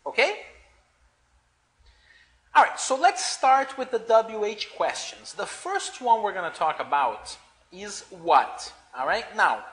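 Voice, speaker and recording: Slow, lively-pitched male English teacher. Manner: slow and deliberate, checks understanding with frequent "okay?". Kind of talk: beginner English lesson.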